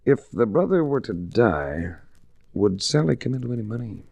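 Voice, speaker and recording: A man's voice: medium pitch, sing-song, moderate pace, gravelly, mellow, no echo, muffled recording. An actor playing a wry, hard-boiled adventurer-investigator who narrates his own case in a 1940s radio drama. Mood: probing. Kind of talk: 1940s radio detective acting. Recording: restored 1940s radio recording.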